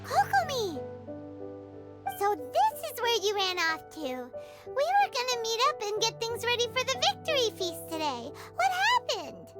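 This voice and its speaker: A woman's voice: extremely high pitch, huge pitch swings, a little slow, exaggerated voice. A woman voicing a tiny, food-loving companion girl in a video game, with an eager, chirpy and exclamatory manner. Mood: curious. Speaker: companion character. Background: loud game soundtrack music.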